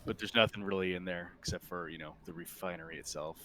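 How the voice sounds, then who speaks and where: Low-voiced man narrating a tabletop game. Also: steady tone, very noisy. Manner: halting, conversational descriptions. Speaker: game master.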